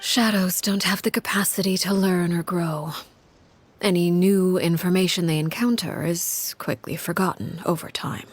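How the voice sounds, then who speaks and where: Cold, low-voiced, commanding matriarch. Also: female voice, fairly even intonation, breathy edge, no room sound. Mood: composed, explanatory. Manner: measured, formal, stern, deliberate. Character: cold, commanding crime-family matriarch called Father, calmly menacing. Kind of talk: dramatic video game voice acting.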